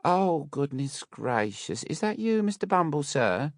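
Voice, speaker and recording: Man as a gushing, high-pitched workhouse matron. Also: very animated, a little slow, no echo, clean studio sound. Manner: sugary, gushing, wheedling, uneducated speech. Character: fawning, two-faced workhouse matron, adult woman.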